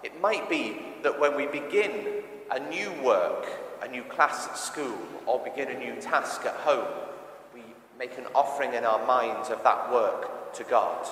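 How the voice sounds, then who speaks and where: A man's voice: mid-range voice, unhurried, a bit bright, slight background noise. Echoey church sermon from a male preacher. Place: large reverberant church interior. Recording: echoey church with long reverb.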